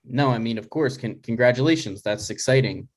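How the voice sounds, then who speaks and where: A deadpan grown son, played by a man in a comedy table read, dry and skeptical. He talks conversationally in a mid-range voice, with small hesitations. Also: medium pitch, a little fast, lightly acted.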